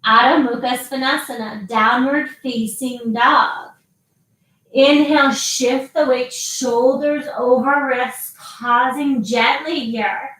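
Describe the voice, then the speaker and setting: A woman guiding a yoga class with slow, calm cues and long pauses between them. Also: high-pitched. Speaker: yoga teacher. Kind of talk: guided yoga instruction. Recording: very echoey, voice from a distance.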